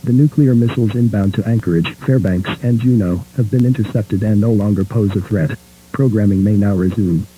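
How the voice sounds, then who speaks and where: A man's voice: fairly low pitch, brisk, dark tone, a little hiss or hum, rough recording. A man reading a scripted broadcast announcement as a formal, impersonal alert announcer.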